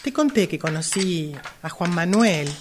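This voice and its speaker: A woman's voice: fairly low pitch, lively intonation, unhurried, background noise. An Argentine woman playing a hesitant sister in love, a longtime caregiver, in an amateur radio drama. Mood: friendly, hesitant.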